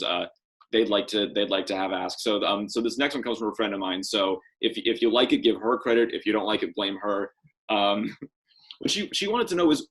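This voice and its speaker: A young man co-hosting a casual podcast, setting up questions in a halting way with repeated phrases. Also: on the low side, fairly bright tone, low-quality recording. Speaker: podcast co-host, interviewer.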